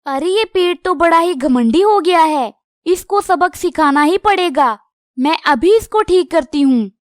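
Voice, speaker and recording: A woman's voice: extremely high pitch, animated, measured pace, dry recording, studio quality. A woman voicing an indignant, scolding magical fairy in a children's cartoon. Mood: angry.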